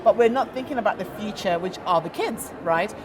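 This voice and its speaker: A woman's voice: mid-range voice, expressive, brisk. A woman interviewer who is chatty and opinionated, leading her guest with her own comments and questions. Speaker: interviewer, founder and CEO. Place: trade fair. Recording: busy crowd noise behind.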